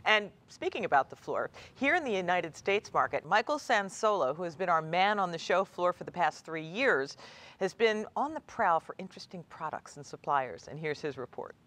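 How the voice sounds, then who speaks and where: A woman's voice: lowish voice, expressive, moderate pace, fairly bright tone. A woman hosting an interview in a playful, teasing way, laughing easily between her questions. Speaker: interviewer and host. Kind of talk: light-hearted interview.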